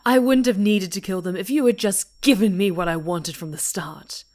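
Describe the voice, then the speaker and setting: A woman playing an obsessive, possessive dark-winged superhero villainess in an ASMR roleplay, with a breathy, whispery voice and menacingly tender delivery. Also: medium pitch, close to an everyday voice. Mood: angry. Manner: slow, deliberate, pleading possessiveness. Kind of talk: ASMR roleplay monologue, theatrical voice acting.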